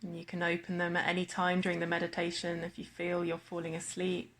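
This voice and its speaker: Woman guiding meditation in an even tone. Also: fairly low pitch. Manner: slow, measured, with long pauses. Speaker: meditation guide. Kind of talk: guided body scan meditation narration.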